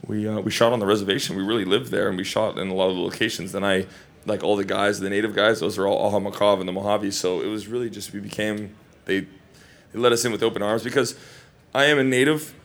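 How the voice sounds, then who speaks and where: A man from Hawaii, an actor, answering questions at a Q&A in loose, rambling, animated anecdotes. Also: on the low side, fast. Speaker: actor and film guest at a Q&A. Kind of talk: casual Q&A storytelling.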